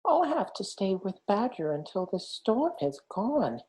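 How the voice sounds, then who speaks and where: A gentle young hedgehog with a high, earnest voice, as a woman reading the story puts him on. He sounds bright and eager, and his pitch rises up well above the narrator's own low voice. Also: big pitch swings, unhurried, rough recording. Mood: determined. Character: small kind young male hedgehog.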